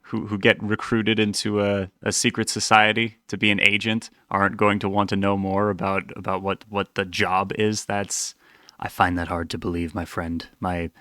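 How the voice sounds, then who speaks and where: A man's voice: lowish voice, little pitch movement, moderate pace, bright, forceful tone, no room sound, clean studio sound. A man hosting a reaction video, casually thinking aloud in a rambling, self-deprecating way.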